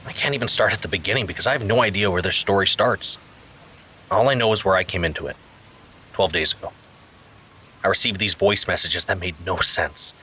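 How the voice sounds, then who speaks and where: A man's voice: low voice, fairly quick, long pauses, sharp tone, a little hiss or hum. An actor playing a troubled, weary man confessing his story in a fiction podcast monologue. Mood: troubled, uneasy. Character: troubled male narrator haunted by mysterious voice messages, weary.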